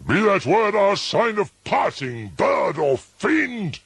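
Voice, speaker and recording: A man playing a grieving, frantic narrator of a gothic poem in an impassioned, theatrical delivery, on a slowed-down recording. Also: sits high, very animated, gravelly, a bit bright, slightly rough, no echo, pitched above the performer's own voice, exaggerated voice. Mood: furious, shrieking. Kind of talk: dramatic gothic poetry recitation.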